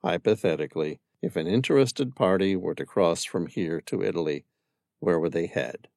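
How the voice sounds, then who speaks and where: A man's voice: fairly low pitch, very animated, measured pace, vocal fry, a little mellow, dry recording, studio quality, exaggerated voice. A man reading aloud as a curious male stranger who keeps asking pointed questions. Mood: probing. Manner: inquisitive, persistent questioning.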